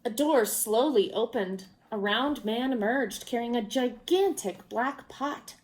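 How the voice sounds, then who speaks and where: Expressive woman reader, higher-pitched voice. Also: poor audio. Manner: expressive, unhurried, with asides to young viewers. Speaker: storybook reader. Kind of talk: children's read-aloud narration.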